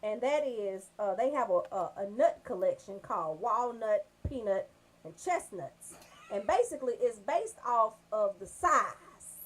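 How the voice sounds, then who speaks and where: A woman's voice: mid-range voice, unhurried. A woman hosting a comedy radio show, delivering raunchy monologue and banter in a brash, emphatic way. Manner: brash, animated storytelling with emphatic check-ins.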